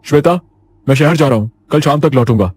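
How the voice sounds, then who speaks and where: A man's voice: fairly high pitch, fairly quick, darkish tone, voice pushed up, close to an everyday voice. A man voicing a stern, dismissive doctor husband in a horror cartoon, firm and curt. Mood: uneasy, reassuring.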